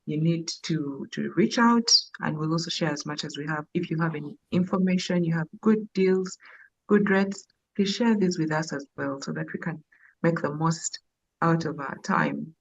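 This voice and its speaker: A Ugandan woman with a lowish voice co-hosts a live podcast over an online call. She talks in a casual, chatty way and laughs easily. The call audio is dull and lo-fi. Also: moderate pace, creaky, slightly rough. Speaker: podcast co-host.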